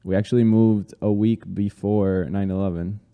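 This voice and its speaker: A man in his thirties from Colombia, a guest telling his life story in a relaxed way, with many fillers. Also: on the low side, moderate pace, clean tone, in a large hall, narrow, tinny audio. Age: 30s. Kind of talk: casual interview conversation.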